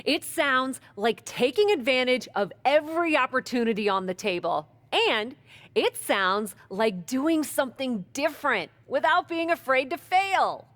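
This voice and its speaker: A woman's voice: sits high, lively intonation, unhurried, fairly bright tone, no room sound. A woman playing a plucky, cocky wrestler in a video game cutscene, speaking in a casual, teasing way. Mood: confident, persuasive.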